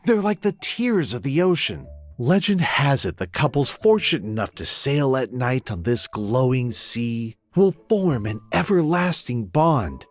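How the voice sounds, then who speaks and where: An actor playing a courteous, eager local vendor, giving polite storytelling sales patter in a video game cutscene. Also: male voice, medium pitch, big pitch swings, measured pace, faint music behind. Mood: eager, wistful.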